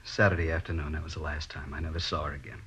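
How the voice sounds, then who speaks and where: A man acting a grieving middle-aged American father in a 1950s radio drama, speaking in a halting, pleading way. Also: rumbling low, flat delivery, a bit bright, breathy edge, full character voice. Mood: dazed, subdued. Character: distraught grieving father of a dead daughter. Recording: dull old radio recording.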